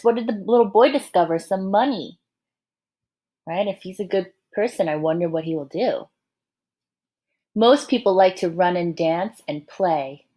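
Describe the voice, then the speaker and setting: A woman reading a picture book aloud to young children like a teacher, expressive and encouraging, pausing to ask the listeners questions and add asides. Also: lowish voice.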